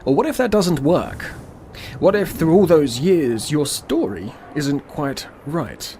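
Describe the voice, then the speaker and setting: Man playing a slow-talking, smug world-destroying demon. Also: fairly high pitch, very animated, mellow, a little hiss or hum, heavily acted. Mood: musing, mocking. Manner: unhurried, menacing, condescending. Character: calm, smug destroyer demon, world-ending evil being. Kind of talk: old-school radio drama acting.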